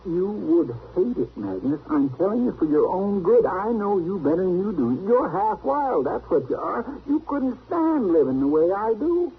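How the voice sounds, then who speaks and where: On a thin, noisy old radio recording, an exasperated western sidekick with a folksy Southern drawl. His rather high voice rises and falls in querulous, bossy scolding. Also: sing-song, moderate pace, hardly any pauses, narrow, tinny audio. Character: exasperated, fussy, bossy frontier lawman's helper, adult man. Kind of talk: 1950s radio western acting.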